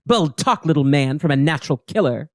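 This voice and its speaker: A podcaster voicing a gruff, grim male antihero from a comic, speaking gravely and tersely. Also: mid-range voice, animated, fairly quick, no room sound, studio quality, pitched below the performer's own voice, lightly acted. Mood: grim, weary.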